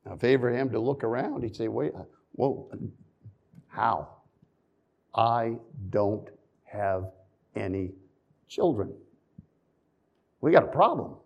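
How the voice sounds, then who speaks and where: A man preaching a sermon, moving between loud emphasis and quiet asides, reading scripture in old-fashioned phrasing and adding folksy remarks to the congregation. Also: medium pitch, lively intonation, slow pace, creaky, long pauses, dull audio.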